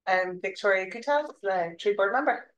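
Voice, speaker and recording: A woman's voice: mid-range voice, lively intonation, moderate pace, fairly bright tone, recorded from a distance, lo-fi sound. A woman speaking as a board member at a city meeting, friendly and inquiring. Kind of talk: meeting discussion. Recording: echoey room, off-mic.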